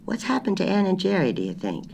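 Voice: mid-range voice, wildly swooping pitch, fast talker, gravelly, darkish tone, slight background noise, voice pushed up. A reader voicing an irritable older husband who grumbles about his thoughtless children. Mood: cross.

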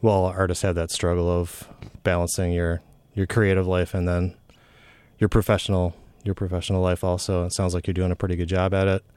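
A man hosting a casual interview, asking questions in a relaxed, conversational way with ums and restarts. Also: deep voice, brisk, smooth, dry recording. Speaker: interview host.

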